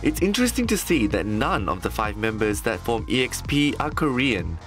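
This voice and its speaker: A man narrates a short feature in a scripted, upbeat way. His pitch rises and falls a lot as he speaks. Music plays clearly underneath. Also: sing-song, moderate pace, fairly bright tone.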